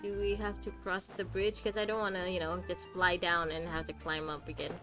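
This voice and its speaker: A woman's voice: lowish voice, flat delivery, a little fast, music in the background. A woman doing casual live game commentary as a streamer, with a wry, teasing, sweary manner.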